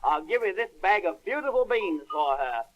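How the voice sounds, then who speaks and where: A man voicing a sly, flattering butcher in a storybook reading. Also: sits high, normal speed, muffled recording, slight background noise. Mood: wheedling. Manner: wheedling, flattering. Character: sly smooth-talking male butcher.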